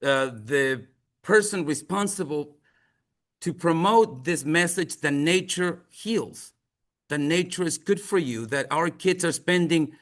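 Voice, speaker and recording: A man from Chile with a Chilean accent, giving a presentation in English as a non-native speaker in an earnest, emphatic and deliberate manner. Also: on the high side, fairly bright tone. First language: Spanish. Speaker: museum outreach presenter. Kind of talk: presentation to an audience with slides.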